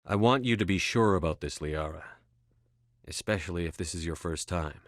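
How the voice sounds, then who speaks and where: A man's voice: low voice, moderate pace, dry recording, clean studio sound, lightly acted. A man voicing a resolute, earnest human starship commander in a video game, firm and clipped in his delivery. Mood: soft, intimate. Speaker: voice actor. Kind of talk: video game dialogue voice acting.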